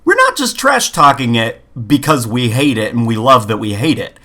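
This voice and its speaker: A man's voice: mid-range voice, sing-song, normal speed, sharp tone. Opinionated, exasperated male podcast co-host. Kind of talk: casual gaming podcast chat.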